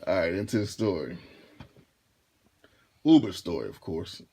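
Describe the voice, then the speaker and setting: A deep-voiced man in his thirties telling a casual storytime to his viewers, rambling and restarting his phrases as he goes. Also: very animated, a little slow, warm tone, breathy edge. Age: 30s. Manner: laid-back, rambling, repeats and restarts phrases. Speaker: vlogger and rideshare driver.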